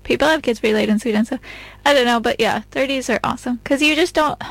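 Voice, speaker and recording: A woman's voice: on the high side, fast, vocal fry, no room sound. A woman in her mid-thirties chatting casually in a frank, colloquial way. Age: mid-30s. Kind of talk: casual chat.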